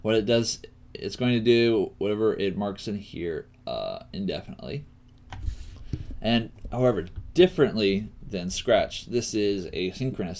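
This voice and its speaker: A man hosting a live coding lesson, thinking aloud haltingly and often murmuring to himself as he works. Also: sits low, darkish tone. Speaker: coding teacher and stream host. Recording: noisy room with keyboard clicks. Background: typing and clicking.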